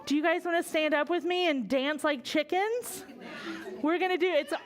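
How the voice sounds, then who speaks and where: A woman's voice: high-pitched, moderate pace, some chatter behind. An American woman reading a picture book aloud to young children, expressively and with questions for them along the way. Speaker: children's library storyteller.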